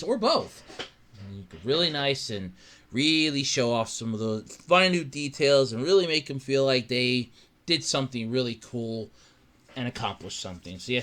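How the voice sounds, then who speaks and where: A man hosting his own podcast in casual solo chat, rambling with lots of restarts and a slightly raspy voice from allergies. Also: medium pitch. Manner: rambling, animated, with many restarts and fillers. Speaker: podcast host. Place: his own room at home.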